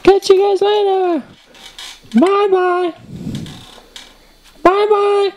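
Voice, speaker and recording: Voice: very high-pitched, little pitch movement, very slow, a little mellow, slight background noise, heavily acted. A performer voicing a friendly little puppet creature for toddlers, babyish and cheerful in a sing-song manner, with music playing. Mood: bright, friendly. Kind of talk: improvised puppet show for toddlers.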